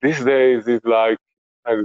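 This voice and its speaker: A man in his forties with an Israeli accent, a podcast guest speaking slowly and reflectively from home, often searching for words. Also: mid-range voice, flat delivery, smooth, no room sound, lo-fi sound. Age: 40s. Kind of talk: podcast interview. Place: home, remote interview.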